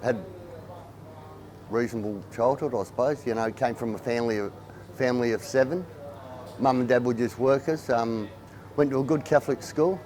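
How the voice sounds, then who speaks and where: A man's voice: mid-range voice, fairly even intonation, normal speed, pauses often, darkish tone. A man with an Australian accent, speaking plainly and hesitantly in a documentary interview.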